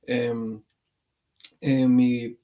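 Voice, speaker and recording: A man from Venezuela speaks as a podcast guest, thinking out loud and halting often on fillers and restarts. His voice sits at an ordinary middle pitch for a man. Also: little pitch movement. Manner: hesitant, many fillers and restarts. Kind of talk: conversational interview.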